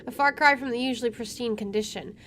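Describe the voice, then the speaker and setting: A woman's voice: on the high side, fast talker, a bit bright. A woman streaming a visual novel, reading its text aloud and dropping in casual, wry asides. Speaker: video game streamer.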